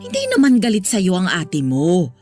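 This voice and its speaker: A woman with a Filipino accent playing a patient, gently firm middle-aged mother in a radio drama. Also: fairly low pitch, huge pitch swings, normal speed, soft background music. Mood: soothing. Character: patient, protective middle-aged mother soothing her daughter.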